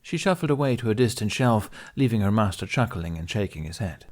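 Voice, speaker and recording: A man's voice: fairly low pitch, lively intonation, a little fast. A man reading a scripted science fiction story aloud as an audiobook narrator. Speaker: audiobook reader.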